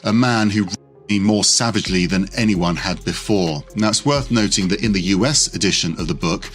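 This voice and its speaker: A man giving a measured, grave news report. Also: on the low side, fairly bright tone, faint music behind.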